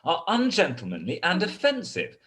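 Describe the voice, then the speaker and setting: An actor playing a polite, dignified young gentleman who speaks in a formal way. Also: medium pitch, wildly swooping pitch, normal speed.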